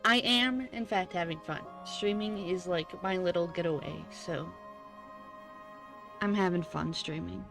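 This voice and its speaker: A woman's voice: on the low side, unhurried, gravelly, fairly bright tone. A woman giving casual, chatty livestream commentary while playing a story game, informal and often interrupting herself. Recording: game music behind the voice. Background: game music and character voices.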